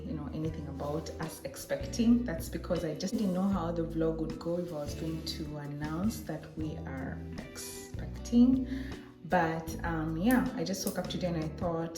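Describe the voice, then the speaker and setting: A young woman chatting casually to camera as a travel vlogger. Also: lowish voice. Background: background music.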